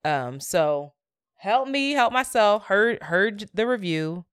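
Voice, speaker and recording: A woman's voice: mid-range voice, expressive, slow, fairly bright tone, smooth, dry recording, clean studio sound. A woman co-hosting a podcast, talking earnestly and reflectively in casual chat, thinking aloud as she goes. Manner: earnest and reflective, talks through her thoughts. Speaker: podcast co-host.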